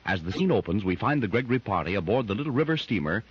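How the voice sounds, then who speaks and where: Male announcer, measured serial recap, husky voice, lo-fi 1930s radio transfer. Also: lowish voice, big pitch swings. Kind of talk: scripted radio serial narration. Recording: muffled 1930s radio recording.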